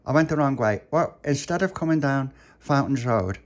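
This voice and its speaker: Man playing a chatty little schoolgirl, pitched up. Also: lively intonation, normal speed, lightly acted. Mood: earnest, excited. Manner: earnest, rambling explanations.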